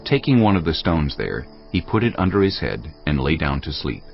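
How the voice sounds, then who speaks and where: Solemn bible narrator guy, super low and gravelly, music behind, slightly dull audio. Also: very animated, moderate pace. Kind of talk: solemn scripted scripture narration.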